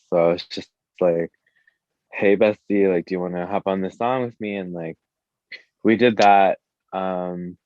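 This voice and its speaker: A man's voice: deep voice, no room sound, muffled recording. A man chatting casually as an interview guest, a musician with an informal, slangy, hesitant way of talking, saying "like" constantly. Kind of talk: casual chat.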